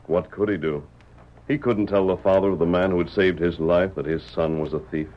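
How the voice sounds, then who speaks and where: An actor playing a calm, commanding western hero who tells a tale in measured, deliberate radio-drama style. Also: male voice, sits low, close to an everyday voice. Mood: grave, steady. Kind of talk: old-time radio drama acting. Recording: dull vintage radio recording.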